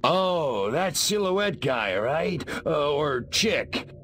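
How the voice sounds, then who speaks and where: A man playing a wisecracking, cocky little gray alien invader in a video game, with a sardonic, deadpan manner. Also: fairly high pitch, very slow, a little hiss or hum, exaggerated voice. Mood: flippant.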